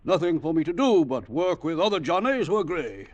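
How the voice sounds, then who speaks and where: An actor playing a smug fascist English gentleman with an upper-class accent, breezy and pompous, in 1940s radio style. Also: fairly high pitch, very animated, fairly quick. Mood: smug, menacing. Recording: 1940s radio drama broadcast.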